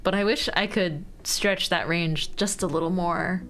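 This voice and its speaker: A woman's voice: fairly low pitch, fairly even intonation, a little slow, fairly bright tone. A woman VTuber streamer chatting casually and easygoingly with her viewers while she draws. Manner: chatty, easygoing, talks to chat. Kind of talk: casual livestream chat while drawing. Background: faint background music.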